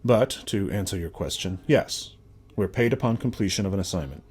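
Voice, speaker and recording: A man's voice: sits low, animated, a little fast, a little mellow, breathy edge. A man playing a stern, hard-to-impress lawman in a space video game, speaking in a terse, matter-of-fact way. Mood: stern, businesslike. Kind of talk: video game character dialogue.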